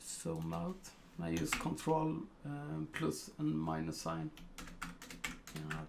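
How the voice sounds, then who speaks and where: A man from Sweden with a Swedish accent, talking through a live digital painting tutorial in slow, hesitant narration full of fillers. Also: on the high side, very animated, creaky, background noise.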